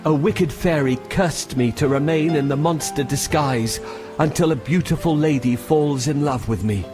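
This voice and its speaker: A man playing a lovelorn cursed beast prince in an animated children's storybook, speaking slowly and pleadingly over music. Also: on the high side, natural voice. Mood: earnest. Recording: music behind the voice.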